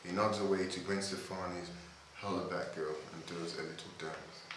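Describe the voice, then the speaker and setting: Casting: male narrator for stage directions, lowish pitch, table read captured in a highly reverberant, noisy space. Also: fairly even intonation, moderate pace. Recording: very echoey room, distant mic, noisy.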